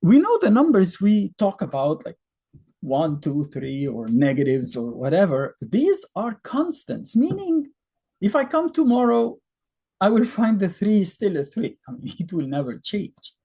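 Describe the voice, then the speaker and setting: A man in his fifties with an Egyptian accent, native Arabic, explains math in a relaxed, chatty way with lots of rhetorical questions. His voice is husky and mellow, and his pitch rises and falls a lot as he talks. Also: measured pace. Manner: conversational, thinks aloud with fillers and rhetorical questions. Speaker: math teacher. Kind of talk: casual explanatory lesson.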